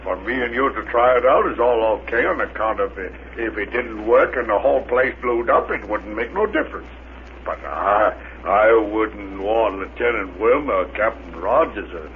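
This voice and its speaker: A man's voice: lowish voice, lively intonation, moderate pace, lightly acted. An actor playing a bumbling, good-natured rocket crewman who bluffs in flustered, folksy, ungrammatical stammers, in 1930s radio serial style. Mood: sheepish bluffing. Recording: noisy, narrow-band 1930s radio recording.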